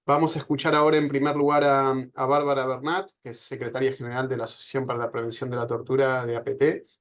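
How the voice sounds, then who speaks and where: A man moderating a formal event, introducing the next speaker in a measured way. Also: medium pitch, little pitch movement. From Argentina.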